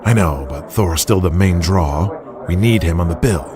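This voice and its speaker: An actor playing a hard-nosed, commanding wrestling promotion boss in an audio drama. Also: male voice, low-pitched, normal speed, crowd noise, natural voice. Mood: firm, businesslike. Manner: blunt, commanding.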